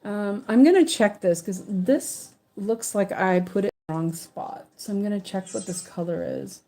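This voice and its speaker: A woman's voice: medium pitch, expressive, normal speed, warm tone. A woman living in Sweden, chatting casually in a rambling way with many restarts while she works on a stitching project. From English-speaking country, living in Sweden. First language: English. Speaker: stitching channel host.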